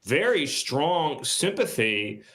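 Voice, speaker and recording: A man's voice: a little high, sing-song, slow pace, a bit bright. A man giving assertive political commentary as a guest on a talk show.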